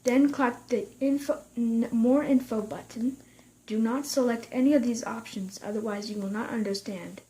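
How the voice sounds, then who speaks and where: A high-voiced woman presents a software tutorial, explaining things step by step. The delivery is unscripted and halting, with phrases restarted along the way. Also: fairly even intonation, rough recording.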